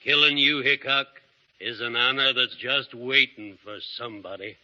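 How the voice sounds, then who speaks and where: A sly, cold-blooded frontier villain who taunts with quiet menace. His tone is sharp and very bright. The sound is muffled, like a 1930s broadcast. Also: medium pitch, steady tone, normal speed. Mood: taunting, menacing. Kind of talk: 1930s radio drama acting.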